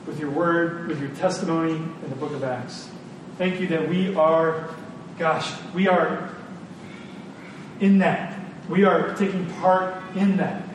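A man preaching a conversational sermon, earnest and informal, leaning on repeated phrases for emphasis. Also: a little high. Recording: very echoey room, distant mic, clear noise.

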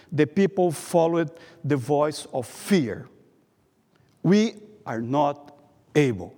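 A man preaching a sermon in English with a Brazilian Portuguese accent, speaking carefully and pausing often as he reads from his notes. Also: high voice, a little mellow. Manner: careful, halting delivery, reading from notes. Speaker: guest preacher. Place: church sanctuary. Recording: long reverb of a church hall.

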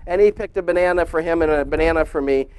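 High-voiced man preaching through stories. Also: fast talker, clean tone, no room sound. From grew up in Indonesia. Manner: conversational, animated storyteller who acts out his own thoughts. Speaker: preacher, former missionary. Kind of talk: sermon with personal storytelling.

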